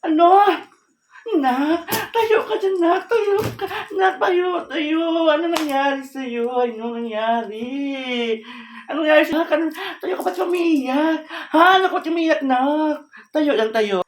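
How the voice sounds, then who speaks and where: A woman playing a concerned mother who gently scolds and consoles, fussing with repeated questions and reassurances in an amateur solo drama. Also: medium pitch, measured pace, close to an everyday voice. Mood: alarmed, worried. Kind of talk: amateur solo monologue acting. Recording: roomy, distant microphone, faint noise and clicks.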